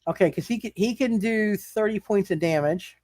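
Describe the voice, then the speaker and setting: A man's voice: sits high, moderate pace, noisy background. A man narrating a live tabletop superhero game as game master, stammering and often repeating his words.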